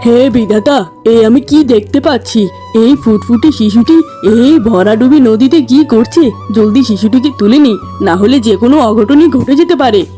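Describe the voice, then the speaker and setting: A man's voice: very high voice, a little slow, mellow, soft background music. An actor playing a cheerful, carefree fisherman husband in a fairy-tale cartoon, with a coaxing, exclamatory delivery. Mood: amazed, tender.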